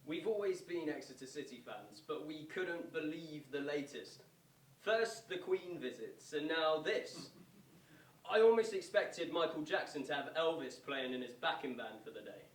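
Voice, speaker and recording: A man's voice: fairly high pitch, expressive, unhurried. A man playing a bemused, loyal lifelong football fan in a stage monologue. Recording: distant, echoey, noisy stage recording.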